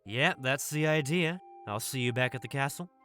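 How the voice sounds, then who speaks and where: A man's voice: medium pitch, normal speed, fairly bright tone, voice pushed up, lightly acted. A man voicing a confident, vengeful young apprentice warrior in a visual novel. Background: game music.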